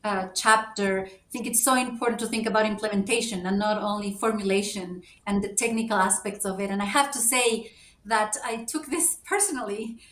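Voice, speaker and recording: A woman's voice: medium pitch, fairly quick, fairly bright tone. A woman from Ecuador, speaking as a panelist and laying out her points in order. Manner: earnest, organized, lists points in order. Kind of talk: panel discussion remarks. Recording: roomy echo, mic at a distance.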